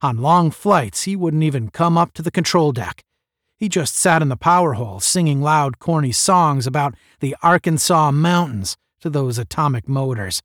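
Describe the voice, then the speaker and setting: A man doing a forceful veteran space officer. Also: on the high side, animated, moderate pace, no echo, clean studio sound, voice pushed up, close to an everyday voice. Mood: earnest, proud. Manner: forceful, proud, instructive. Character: commanding male space officer, seasoned, earnest.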